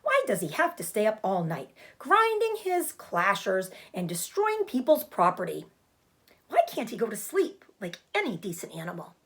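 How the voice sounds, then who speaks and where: A woman's voice: mid-range voice, wildly swooping pitch, moderate pace. A woman voicing a polite, earnest young male pig, anxious and hopeful, in a storybook reading. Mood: annoyed. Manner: formal, earnest announcing. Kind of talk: storybook character voice.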